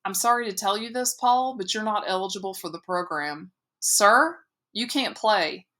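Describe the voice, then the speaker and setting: Woman as stiff, apologetic school coach, bright, halting. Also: medium pitch, natural voice. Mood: awkward. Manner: halting, bureaucratic, then softly apologetic. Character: middle school soccer coach, awkward and apologetic.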